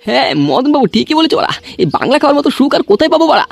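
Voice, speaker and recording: A man's voice: very high-pitched, expressive, rapid-fire, creaky, full character voice. A man voicing a grumbling, henpecked drunkard husband in an amateur comedy cartoon, complaining and foul-mouthed. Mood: boozy, defiant.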